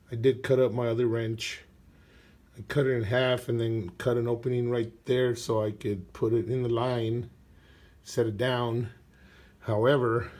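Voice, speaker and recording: A man whose voice has gone husky from a cold talks his way through a garage repair job. He speaks into a phone he holds while working under the vehicle. His talk is loose and rambling, full of fillers and throat clearing. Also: medium pitch, a little mellow. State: hoarse with a cold, losing his voice. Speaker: DIY car mechanic vlogger. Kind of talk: casual workshop walkthrough. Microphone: phone camera held while working.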